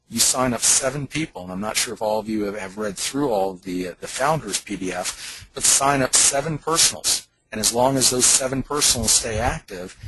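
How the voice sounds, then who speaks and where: A man making an emphatic, persuasive sales pitch on a conference call, like a company leader selling an opportunity. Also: bright, forceful tone, a little hiss or hum, poor audio.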